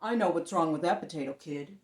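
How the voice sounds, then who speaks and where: A woman voicing a bossy male mud monster in a storybook, booming, blunt and pushy. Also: a little fast, reverberant, natural voice. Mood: mocking. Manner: blunt, insistent, taunting.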